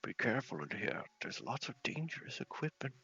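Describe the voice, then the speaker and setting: A man's voice: mid-range voice, big pitch swings, brisk, pushed and bright, husky, muffled recording, full character voice. A man voicing an eccentric, polite, muddled scientist with fussy speech in an ASMR game roleplay. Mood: matter-of-fact, genial.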